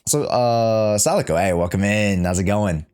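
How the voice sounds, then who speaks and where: A man's voice: lowish voice, normal speed, a little mellow, smooth. A man doing casual live-stream commentary on a game, rambling and reading the dialogue aloud as he goes. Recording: game music behind the voice. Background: game music and dialogue.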